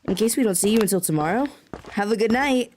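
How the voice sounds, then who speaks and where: A woman's voice: medium pitch, expressive, fast, noisy background, lightly acted. A woman playing a restless, adventurous young trainee in an audio drama, with casual dialogue. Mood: eager.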